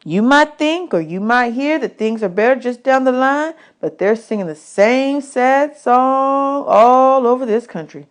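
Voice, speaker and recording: A woman's voice: high voice, measured pace, hardly any pauses, voice pushed up. A woman voicing a folksy drifter man in a storybook hobo camp, plainspoken and advising. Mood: weary, advising.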